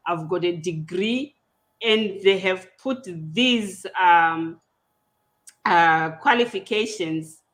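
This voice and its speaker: A woman with a Zimbabwean accent, a native Shona speaker, hosting an online panel in a deliberate, explanatory, conversational way. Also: on the low side. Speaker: podcast host. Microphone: video call.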